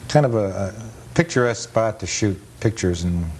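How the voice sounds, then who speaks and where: A man with a low voice gives casual commentary as a guest historian. He explains things in a matter-of-fact, detailed, anecdotal way. The 1980s cable recording has clear noise and rumble. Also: expressive, moderate pace, a little mellow. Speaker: guest film collector and amusement park historian. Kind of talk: casual commentary over old film footage.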